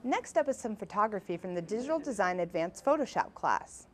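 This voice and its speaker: A woman's voice: mid-range voice, big pitch swings, brisk, slight background noise. A woman hosting a college TV show, reading scripted presenter links. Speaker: TV show host.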